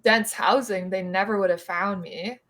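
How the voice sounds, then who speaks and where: A woman's voice: medium pitch, fairly even intonation, moderate pace, fairly bright tone. A woman, telling a story on a podcast, filling her talk with "like". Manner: chatty, lots of "like" fillers. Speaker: podcast co-host. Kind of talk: casual storytelling chat.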